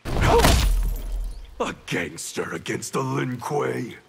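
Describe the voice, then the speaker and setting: An actor playing a cold, proud, hostile male ninja assassin in a video game, with a gravelly voice. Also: medium pitch, huge pitch swings, extremely slow, exaggerated voice. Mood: cold menace. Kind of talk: video game villain dialogue.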